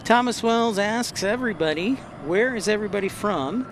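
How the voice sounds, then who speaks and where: A man from Texas hosting a casual livestream, chatting and reading out messages with frequent halting pauses. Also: high voice, animated. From Texas, United States. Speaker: livestream host. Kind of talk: casual live commentary, reading and answering chat. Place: outdoors near an airport. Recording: loud outdoor vehicle and ambient noise.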